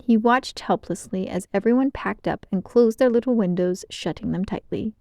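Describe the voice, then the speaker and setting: A woman reads a children's story as narrator. Her voice sits in a middle range, with expressive storytime delivery, recorded close and dry. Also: medium pitch, moderate pace, creaky, no echo.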